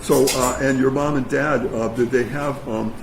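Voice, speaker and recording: A man acts as host and interviewer at a live meeting. He speaks informally and haltingly, repeating phrases as he goes. His pitch is average for a man, and there is slight noise behind him. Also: fairly even intonation, rough recording. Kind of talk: informal spoken introduction and interview. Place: meeting room with an audience.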